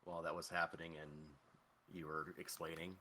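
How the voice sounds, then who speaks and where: A man's voice: deep voice, little pitch movement, brisk, pushed and bright, a little hiss or hum. A man improvising descriptive narration as a tabletop game master.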